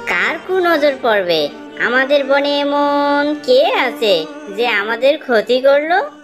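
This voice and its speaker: A woman voicing a kindly little female tailorbird in a cartoon, with an earnest, gentle manner. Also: high voice, sing-song, slow pace, over music, exaggerated voice. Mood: troubled. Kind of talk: cartoon character dialogue.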